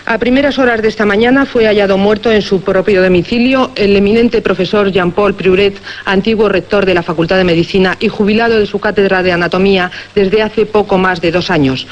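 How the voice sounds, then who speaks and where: A man playing a formal, measured radio newsreader in a radio drama, reading a grim bulletin. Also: sits high, fairly even intonation, lo-fi sound, a little hiss or hum, close to an everyday voice. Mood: formal, grave.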